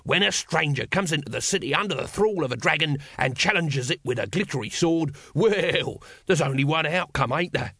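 A man's voice: on the high side, animated, moderate pace, creaky, few pauses, pushed and bright, husky, no room sound, studio quality, voice pushed up, exaggerated voice. A man voicing a pleasant, opportunistic street hawker with an English accent and colloquial salesman patter in an audiobook. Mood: pleasant, persuasive.

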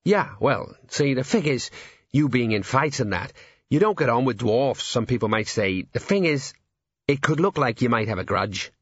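A man's voice: medium pitch, lively intonation, normal speed, husky, no echo, dull audio. A man voicing a cautious, placating police sergeant in an audiobook, hesitant and colloquial in his speech. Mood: hedging. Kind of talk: character voice in audiobook narration.

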